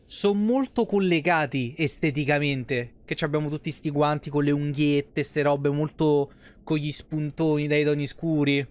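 A man's voice: fairly high pitch, fairly even intonation, normal speed. A man streams a game. He chats casually and playfully, full of slang and mock exclamations, into a desk mic in a small room. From Italy. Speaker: game livestreamer. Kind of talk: casual live game commentary.

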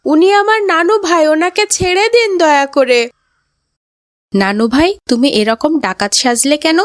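A woman plays a young grandchild in a cartoon tale. The voice is high, excitable and earnest, with polite phrasing. It is recorded dry, without room sound. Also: unhurried, dry recording. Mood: upset. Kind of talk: cartoon moral story dialogue.

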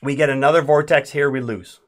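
A man's voice: on the high side, steady tone, a little fast. A middle-aged man casually explaining and coaching as he co-hosts an indoor board game playthrough. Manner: explanatory, instructing his co-player. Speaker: board game show co-host and player. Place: indoor room at a game table.

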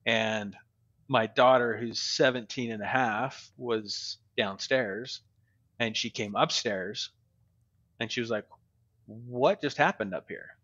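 Man in his forties, slow reflective talk, bright tense tone, slightly dull call audio. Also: medium pitch. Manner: slow and reflective, many ums, laughs easily. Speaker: podcast guest. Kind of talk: casual interview talk. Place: home room. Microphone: studio mic on video call with headphones.

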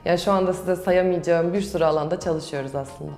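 A woman's voice: lowish voice, steady tone, fast, darkish tone. A young adult woman, a student answering questions in a promotional interview. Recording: roomy echo with music behind. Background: background music.

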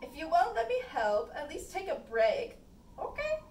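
A woman's voice: extremely high pitch, wildly swooping pitch, normal speed, a little mellow, full character voice. A woman voicing lovestruck young sweethearts in an amateur puppet romance, gushing and theatrical. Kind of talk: amateur puppet-show acting. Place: indoor room with puppet stage. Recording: echoey room, distant mic, faint noise.

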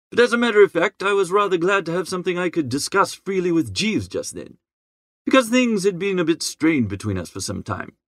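A man acts out a breezy young idle gentleman narrating his own storybook tale. The voice is fairly high and swoops in a very lively way, chattering in jaunty old-fashioned slang. The recording is close and dry. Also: dry recording, full character voice. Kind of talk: scripted first-person audiobook narration.

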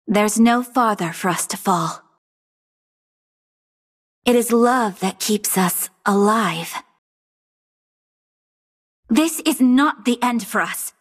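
Noble undead queen, sorrowful, regal, video game hero lines, slow, breathy, theatrical. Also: a little high, lively intonation, full character voice. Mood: solemn, resolute. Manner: solemn, theatrical, regal delivery. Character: sorrowful, noble undead queen guarding her fallen kingdom.

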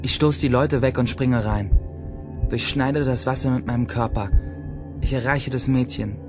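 A man's voice: medium pitch, steady tone, normal speed, lightly acted. An actor playing a self-righteous, self-important teenage boy loner, narrating and speaking in a crime radio play. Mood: tense. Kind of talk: first-person audio drama narration and dialogue in a crime radio play. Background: music behind the voice.

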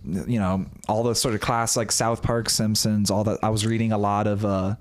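A man's voice: on the low side, little pitch movement, moderate pace. A young man from Indiana, a comedian guest on a podcast, talking casually and hesitantly with many restarts, in a small studio on a close mic. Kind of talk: casual chat. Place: small studio room, indoors. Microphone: studio mic with headphones.

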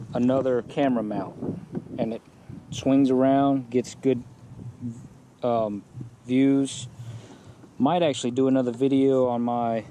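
A man's voice: medium pitch, background noise. A man casually walking through his fishing kayak setup outdoors in a yard, talking plainly with frequent fillers, recorded on an action camera. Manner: relaxed, plain-spoken, many fillers. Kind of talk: casual gear walkthrough.

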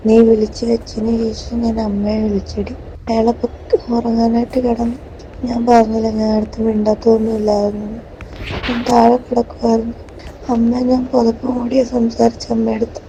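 A woman's voice: a little high, flat delivery, normal speed. A distressed, pained woman with a Kerala Malayalam accent speaking in a private voice message to a friend. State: distressed, in pain. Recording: dull, noisy played-back voice message.

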